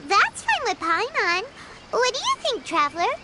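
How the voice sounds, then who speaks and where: Casting: pint-sized floating game sidekick, extremely high squeaky childlike voice, excitable, skittish, swooping delivery over music. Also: female voice, normal speed, full character voice. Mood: agreeable. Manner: refers to self in third person, exclamatory. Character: tiny childlike floating companion, excitable, easily spooked, chatty. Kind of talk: video game voice acting. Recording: background music under the voice.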